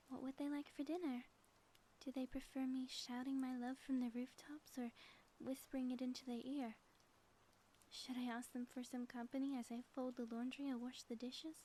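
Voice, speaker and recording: A woman's voice: high-pitched, warm tone, slight background noise, lightly acted. A woman playing a theatrical, lovestruck ex-supervillain in an audio roleplay, speaking in formal, grandiose phrases.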